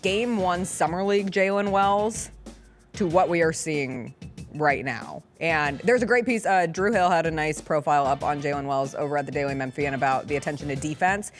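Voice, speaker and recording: Playful woman hosting sports talk, lowish voice. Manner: chatty, playful, emphatic. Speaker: sports talk show host. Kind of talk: casual sports talk and commentary.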